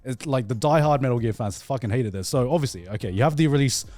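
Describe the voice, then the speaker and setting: Animated, sweary man telling a story, dry sound. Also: mid-range voice, no echo. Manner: animated storytelling, profane, halting with repeats. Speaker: podcast host. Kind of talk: casual video game chat.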